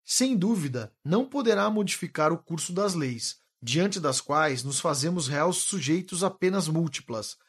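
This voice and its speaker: An actor playing a calm, wise male spirit instructor who speaks in a measured, gently authoritative way. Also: fairly high pitch, slightly rough. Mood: patient teaching. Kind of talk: radio drama acting.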